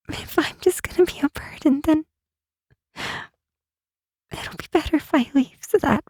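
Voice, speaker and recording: A woman playing a timid, self-doubting young fantasy healer in an ASMR roleplay, speaking softly and haltingly in a breathy half-whisper. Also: high voice, no room sound. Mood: tearful, sad. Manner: halting, sighing, unsure delivery. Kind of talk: ASMR roleplay, intimate and hesitant. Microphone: close, dry microphone.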